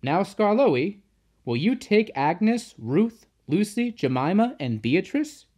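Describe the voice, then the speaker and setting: A storybook narrator voicing a grave, authoritative male railway controller with measured speech. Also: medium pitch, big pitch swings, a little mellow, slightly rough, voice pushed up, heavily acted. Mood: brisk, kindly. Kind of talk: children's storybook character voice.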